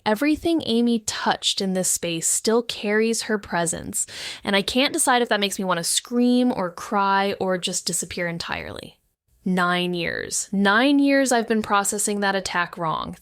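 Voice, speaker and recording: A woman's voice: medium pitch, smooth, no room sound, clean studio sound, lightly acted. A woman playing a shaken, sardonic young woman in an intimate first-person audio drama monologue, wry, introspective and profane. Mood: panicky, hurt. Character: shaken, wounded, sardonic young woman, med-school dropout.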